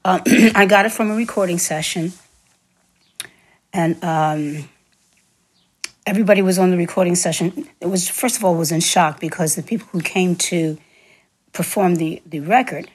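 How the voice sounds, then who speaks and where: A woman singer and stage actress, a guest recounting her career in a relaxed interview, laughing often and repeating words for emphasis. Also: lowish voice, moderate pace, pauses often, breathy edge. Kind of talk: relaxed reminiscing interview talk.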